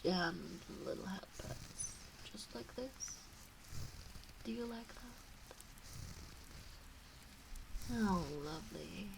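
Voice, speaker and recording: A woman voicing a teasing, doting kitsune witch in an intimate ASMR roleplay, cooing softly and often dropping into whispers. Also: medium pitch, extremely slow, creaky, long pauses, noisy background. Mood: doting, soothing.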